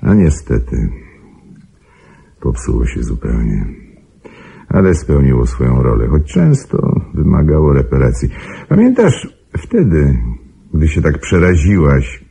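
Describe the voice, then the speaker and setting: A calculating murderer in a radio drama. His extremely low, dark voice is thick with creak and rasp as he justifies himself with menacing regret. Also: heavily acted. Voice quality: very deep, gravelly, husky. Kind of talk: radio play acting.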